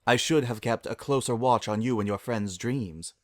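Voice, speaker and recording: A man voicing a regal, gentle princess mare who speaks in a formal, measured and solemn way for a story reading. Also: medium pitch, dry recording, clean studio sound, close to an everyday voice. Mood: regretful. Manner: formal, measured, archaic courtesy.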